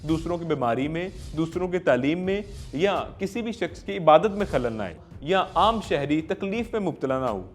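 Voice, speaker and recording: A man's voice: a little high, animated, moderate pace, few pauses, a little hiss or hum. A man with a Pakistani accent presenting an explainer to viewers as a legal host, speaking formally and emphatically with rhetorical turns.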